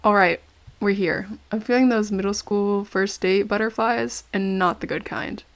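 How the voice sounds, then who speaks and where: An actress playing a polite, earnest young investigative reporter with an American accent in an audio drama. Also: female voice, mid-range voice, fairly even intonation, normal speed, slight background noise, natural voice. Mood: wry, confiding. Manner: polite, wry. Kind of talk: audio drama acting, narrated recorder diary.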